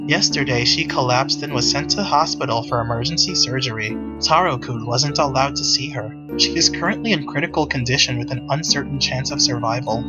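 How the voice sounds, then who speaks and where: A solemn visual novel ghost, acted by a reader, speaks formally and calmly. The delivery is measured, over clearly audible music. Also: medium pitch, dull audio, close to an everyday voice. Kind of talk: visual novel character reading.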